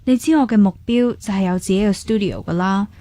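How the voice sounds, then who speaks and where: A woman's voice: mid-range voice, a little fast, mellow, dry recording, lightly acted. A woman with a Hong Kong Cantonese accent acting a determined, thrifty young dance teacher in a radio drama, in a plain, casual, matter-of-fact way. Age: young adult.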